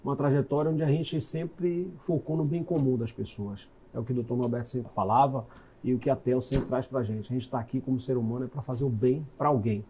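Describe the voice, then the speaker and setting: A Bahian man in his forties, a guest telling his life story in a reflective, measured way. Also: medium pitch, fairly even intonation, dark tone, a little hiss or hum. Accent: Bahian Portuguese. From Salvador, Brazil. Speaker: guest executive. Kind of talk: interview storytelling.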